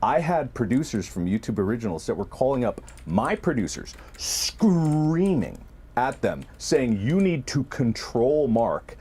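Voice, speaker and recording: A man co-hosting a casual talk, telling stories about his work in an animated, exaggerating way with some swearing. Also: mid-range voice, unhurried, slightly rough. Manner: animated, profane, exaggerating for effect. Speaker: video creator and co-host, recounting past productions. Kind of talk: casual conversational storytelling.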